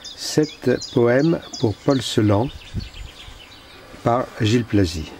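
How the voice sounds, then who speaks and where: A man reading his own poems in a solemn recitation, with measured, deliberate phrasing and pauses. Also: medium pitch, expressive, a little mellow, a little hiss or hum. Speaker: poet reading own work.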